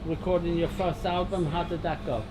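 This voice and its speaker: A man's voice: fairly high pitch, level tone, moderate pace, noisy background. A man asking questions as an interviewer in a casual, chatty style.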